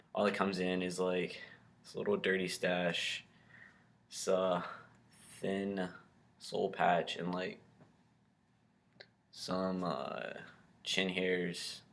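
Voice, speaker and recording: Low gravelly young man vlogging. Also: a bit bright. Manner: rambling, many ums and likes. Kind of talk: casual vlog to camera.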